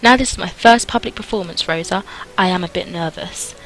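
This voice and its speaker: Woman playing an earnest little girl, bright voice. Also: on the low side, fairly quick, lightly acted, rough recording. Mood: nervous.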